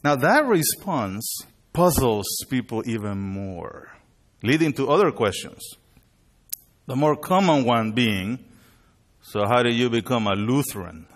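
A middle-aged man, born in Chile and raised in Panama, preaching at a lectern in a measured, deliberate way. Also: mid-range voice, animated. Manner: measured, deliberate delivery with bilingual openings. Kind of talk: sermon at a worship conference. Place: indoor hall with a screen. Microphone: lectern mic, far camera.

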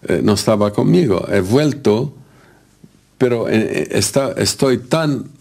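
A man from Lebanon, not a native speaker, haltingly searching for words as he recalls events in an interview. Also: fairly low pitch, lively intonation, gravelly, darkish tone. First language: not Spanish. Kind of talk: spoken interview recollection.

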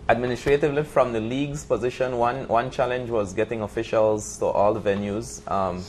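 A man's voice: medium pitch, steady tone, fairly quick, a little mellow, slight background noise. A man answering as a guest in a studio interview, searching for words as he goes. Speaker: basketball league official, interview guest. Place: TV studio set, indoors. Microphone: lapel.

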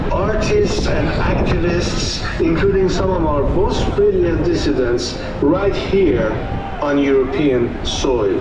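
Distant high-voiced man giving a speech. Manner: measured, deliberate delivery in short phrases. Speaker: speaker addressing a crowd. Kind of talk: formal political speech. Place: outdoor city square, heard over loudspeakers. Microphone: filmed from far off in the crowd. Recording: distant echoey loudspeaker voice with heavy street noise. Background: loud street and traffic noise.

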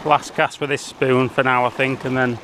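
Young male angler, casual excitable commentary, action-camera audio outdoors with heavy surf and wind noise. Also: medium pitch, moderate pace. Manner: excitable, laughing, chatty while reeling in fish. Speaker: angler filming his own fishing trip. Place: outdoors on rocky sea shore by waves. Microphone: head-mounted action camera.

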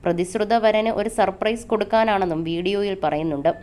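A woman's voice: medium pitch, brisk, darkish tone. A woman narrating a scripted entertainment news report.

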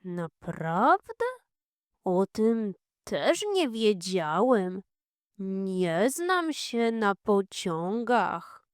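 A woman voicing a modest, tidy, good-natured male badger neighbour in a children's audio fairy tale, unhurried and plain-spoken. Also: mid-range voice, big pitch swings, clear, resonant tone, no room sound, studio quality.

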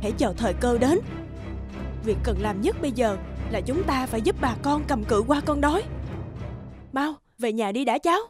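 A woman voicing a gentle, selfless middle-aged peasant aunt in a cartoon, soothing and maternal. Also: a little high, lively intonation, moderate pace, lightly acted. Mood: urging, caring. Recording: music behind the voice.

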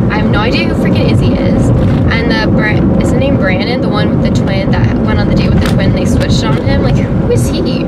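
A young woman vlogging from behind the wheel in casual, rambling chat, full of fillers and asides. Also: fairly high pitch. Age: young adult. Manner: chatty, rambling, lots of "like" and "um"; talking while driving. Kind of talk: casual car vlog chat. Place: inside a car, daytime. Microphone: dashboard camera mic. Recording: distant camera mic with loud road noise. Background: loud road and traffic noise.

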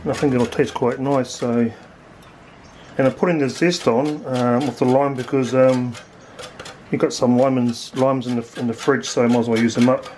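A man in his forties with a New Zealand accent, a little hoarse, chatting casually as he cooks and tastes food in his home kitchen. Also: mid-range voice, normal speed, a little hiss or hum, poor audio. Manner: relaxed, chatty, thinking aloud with fillers. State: slightly hoarse, losing his voice. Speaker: home cook vlogger. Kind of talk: casual cooking commentary.

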